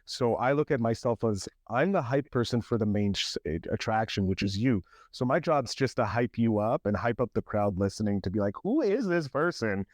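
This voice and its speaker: Male podcast host, mid-pitched voice, dry close mic, energetic and casual, stumbles and restarts often. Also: moderate pace, hardly any pauses, dry recording. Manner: hypes up guest, many fillers and restarts. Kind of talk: casual interview chat.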